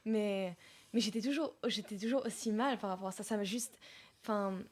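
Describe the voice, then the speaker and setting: A young woman from Lebanon, a singer-songwriter, answering interview questions softly and searching for her words. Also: mid-range voice, normal speed. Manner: soft-spoken, searching for words, trailing off.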